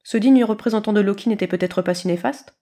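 A woman reading scripted fiction aloud as an expressive storyteller, at a medium pitch. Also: fast. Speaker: narrator, podfic reader.

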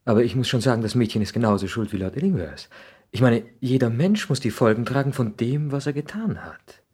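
Husky, impassioned, ambitious young son. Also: male voice, medium pitch, lively intonation, brisk, darkish tone. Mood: earnest. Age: young adult. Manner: impassioned, argumentative. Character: ambitious, hot-headed young son, idealistic and defiant. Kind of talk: 1960s German radio drama acting.

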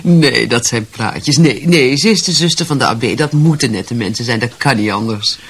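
An actress playing an insistent, hospitable lady of the house with a brisk, placating manner in a radio drama. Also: a bass voice, a little hiss or hum. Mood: dismissive, insistent. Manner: brisk, placating, dismissive of doubts. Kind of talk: Dutch radio drama acting.

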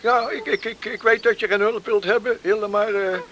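A man's voice: high voice, steady tone, normal speed, fairly bright tone. A man acting a fussy, concerned villager in a radio play, stammering and repeating words as he insists. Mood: insistent, concerned. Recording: faintly noisy radio play, outdoor sounds.

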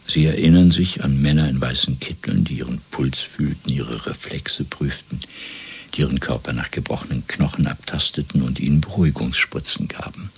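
An actor playing a cold, controlled interrogator in a 1970s German radio drama, speaking in a measured, deliberate, menacing way. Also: male voice, extremely low pitch, creaky, husky, full character voice. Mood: cold, accusing. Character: cold, controlled male Party interrogator and torturer.

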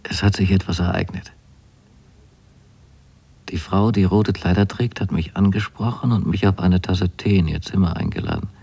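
Radio drama son,: deep, dark, mellow delivery, fastidious and naive, precise literary monologue. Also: male voice, gravelly, breathy edge, heavily acted. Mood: intimate, reflective. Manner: measured, precise, deliberate storytelling. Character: young man writing letters to his mother, wryly fastidious and naive.

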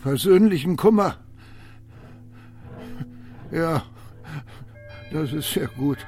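An actor playing an agitated, frightened patient in a radio drama, stammering and repeating himself nervously. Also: male voice, a little high, expressive, slow pace, darkish tone, soft background music. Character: agitated, frightened man recovering from a suicide attempt.

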